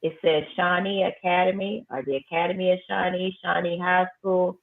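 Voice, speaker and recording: A woman high school principal, talking firmly and emphatically in a casual interview. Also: fairly even intonation, normal speed, gravelly. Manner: emphatic, firm, repeats phrases for effect. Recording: tinny phone-like line.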